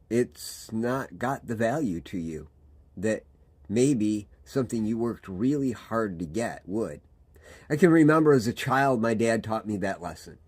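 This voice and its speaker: A man, a pastor giving a reflective devotional talk with pauses and restarts. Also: fairly low pitch, darkish tone. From Michigan, USA. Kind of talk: devotional sermon.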